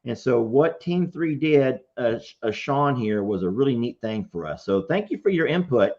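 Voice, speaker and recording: Casual, folksy host for live caller chat, animated intonation, slightly muffled lo-fi audio. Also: mid-range voice, moderate pace. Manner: folksy, informal. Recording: dull, lo-fi sound.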